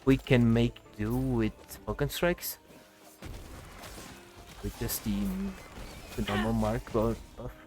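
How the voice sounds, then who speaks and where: A man's voice: medium pitch, fairly even intonation, takes it slowly, pauses often. A man giving casual gameplay commentary, muttering his thoughts aloud in short fragments. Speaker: gamer narrating a playthrough. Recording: game music behind. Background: video game music.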